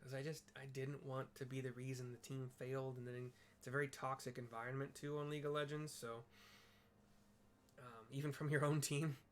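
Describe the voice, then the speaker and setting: A man's voice: medium pitch, little pitch movement, brisk. A man chatting casually while playing and describing a game, rambling with lots of fillers and self-deprecating asides, at a home computer. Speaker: game streamer narrating for blind viewers. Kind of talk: casual gameplay chat and description.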